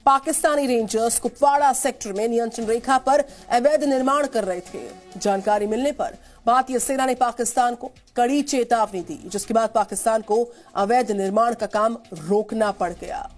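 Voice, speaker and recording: An Indian woman anchors a television news bulletin. Her voice is on the high side and her delivery is formal and emphatic. Light news music plays behind her. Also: normal speed, few pauses. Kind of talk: Hindi television news presenting. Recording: studio voice over news music.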